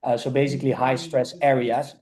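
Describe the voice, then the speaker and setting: A man hosting an online meeting over a video call, speaking casually and haltingly, often repeating words. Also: medium pitch, flat delivery, a little mellow. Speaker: meeting host.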